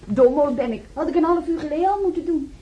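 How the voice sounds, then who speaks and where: Een jonge, verstrooide verpleeghulp, gespeeld door een actrice, met een wat hoge, zachte stem. Ze ratelt snel en verwijt zichzelf van alles. Een hoorspelpersonage. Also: female voice, sits high, mellow, dull audio, natural voice. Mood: flustered. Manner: hurried, self-reproaching. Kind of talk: radio drama acting.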